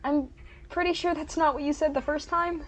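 A very high-pitched young student acted by a woman, bewildered and politely sceptical. The delivery is hesitant and deadpan, in the style of a comic audio drama. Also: moderate pace, natural voice, poor audio. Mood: confused, sceptical. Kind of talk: comedic audio drama acting.

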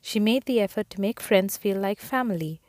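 A woman host narrating a scripted story in a measured, deliberate way. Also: medium pitch. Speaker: host and interviewer.

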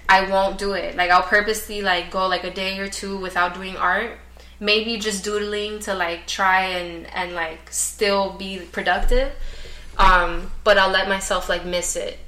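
Young woman, spanish first language, chatty podcast guest, bright voice, echoey room. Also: mid-range voice. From United States. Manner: chatty, laughs often, many fillers. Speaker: guest artist. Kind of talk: casual podcast chat.

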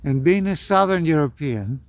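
A man in his seventies from Greece, a podcast guest telling stories in a measured, thoughtful way. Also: a little high, lively intonation. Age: 70s. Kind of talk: casual conversation.

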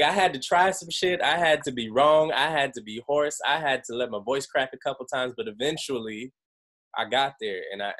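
A young man hosting a group call, talking casually and acting out quoted voices with laughs. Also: fairly low pitch. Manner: animated, acts out quotes, laughs. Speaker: host.

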